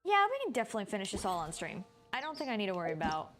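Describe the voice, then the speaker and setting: Casual woman streaming over soft music. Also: mid-range voice, huge pitch swings, very fast. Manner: informal, thinking aloud, mild swearing. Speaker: game streamer. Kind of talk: casual livestream commentary.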